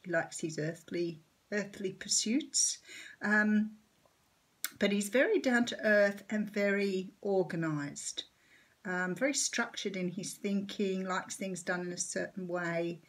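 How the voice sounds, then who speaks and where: A woman with an Australian accent giving a chatty tarot reading, her voice a little croaky this morning, with lots of ums. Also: medium pitch, takes it slowly. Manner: chatty, warm-hearted, rambling with many ums. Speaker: tarot reader. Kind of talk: casual tarot reading vlog.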